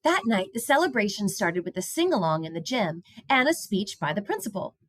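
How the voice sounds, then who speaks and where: Woman, picture book narration, expressive, swooping intonation, dry recording. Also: medium pitch, brisk, dry recording. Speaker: read-aloud storyteller.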